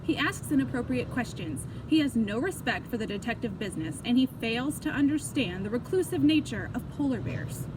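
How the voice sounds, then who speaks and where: A woman's voice: a little high, expressive, normal speed, hardly any pauses, close to an everyday voice. A woman voicing a pompous, melodramatic young boy detective from a storybook, haughty and indignant. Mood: indignant complaining. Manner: overdramatic, haughty, formal wording. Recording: clear background noise.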